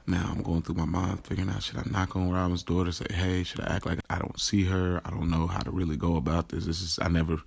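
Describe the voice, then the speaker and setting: A man's voice: low voice, flat delivery, quick pace, creaky, hardly any pauses, slightly rough, exaggerated voice. An American man playing a young, streetwise rideshare driver who tells his story in a slangy, hesitant, confessional audio drama narration. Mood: confiding, hesitant. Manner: conversational, slangy, hesitant self-corrections. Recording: music behind the voice. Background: music.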